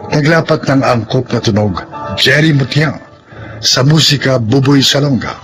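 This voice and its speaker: Slow, animated male radio drama announcer. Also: medium pitch, low-quality recording. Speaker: announcer reading moral and credits. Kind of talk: scripted radio drama narration.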